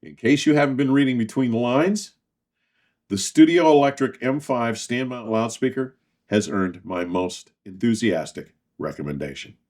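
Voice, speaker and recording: A man hosting a scripted product review, speaking formally in measured, emphatic phrases with deliberate pauses. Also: mid-range voice, expressive, darkish tone. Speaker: host and audio equipment reviewer.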